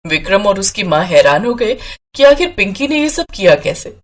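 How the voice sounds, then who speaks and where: A woman's voice: mid-range voice, big pitch swings, moderate pace. A woman narrator reading a scripted story in a storytelling style.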